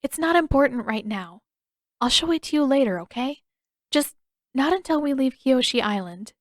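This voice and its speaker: A woman voicing a guarded but caring teenage girl, earnest and gently insistent, in an audiobook. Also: sits high, lively intonation, normal speed, no room sound, clean studio sound, voice pushed up. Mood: pleading, reassuring. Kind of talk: audiobook character voice.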